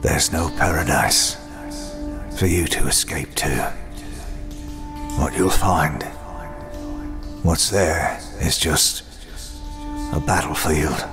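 A man voicing a grim, scornful, hardened swordsman in a manga dub, gravelly and menacing. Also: very deep voice. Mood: stern. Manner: menacing, deliberate, contemptuous. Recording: loud music under the voice.

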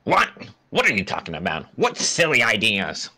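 A man's voice: on the low side, wildly swooping pitch, moderate pace, sharp tone, exaggerated voice. A man voicing a scheming, grumpy, pompous little cartoon fellow in a brash, indignant way.

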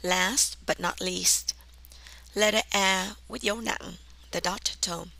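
A woman with a southern Vietnamese accent narrating a language lesson as the teacher, enunciating deliberately and carefully. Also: mid-range voice, fairly even intonation, gravelly, bright, forceful tone, poor audio.

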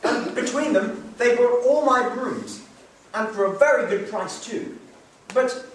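A man's voice: sits high, steady tone, measured pace, distant mic, pitched above the performer's own voice. A man voicing a cheerful, poor broom-maker father with a hearty, emphatic manner, recorded off-mic in a very echoey room.

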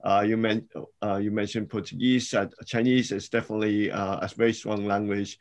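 A middle-aged man hosting an online panel, asking questions in a measured way with many fillers. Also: lowish voice, level tone. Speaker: dean hosting an info session. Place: indoors, home video call. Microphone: webcam mic on a video call.

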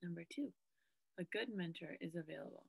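A woman in her thirties reading a book aloud to a study group, her voice scratchy in the morning, clearing her throat now and then. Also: lowish voice, a little fast, dry recording. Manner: steady read-aloud pace, frequent throat clearing. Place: small bedroom indoors. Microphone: webcam at desk.

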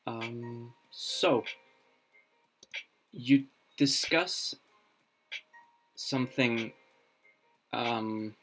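A young man whose first language is Danish, thinking aloud on a casual live stream, halting with many fillers and restarts, recorded in a small room. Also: mid-range voice, fairly bright tone, faint music behind. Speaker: streamer building a constructed language.

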